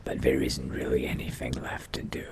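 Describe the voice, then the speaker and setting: A man voicing a pensive, solitary vampire adventurer in a game roleplay, musing to himself in a breathy, gravelly half-whisper. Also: extremely low pitch, completely flat delivery, moderate pace. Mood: musing, subdued. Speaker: player role-playing in a video game. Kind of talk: in-character game roleplay monologue.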